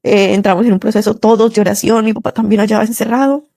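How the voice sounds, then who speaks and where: A woman's voice: medium pitch, fairly even intonation, fast talker, creaky, dark tone, dry recording, studio quality. A young woman with a Colombian accent shares a personal testimony in an earnest, conversational way. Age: young adult. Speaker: listener sharing a testimony.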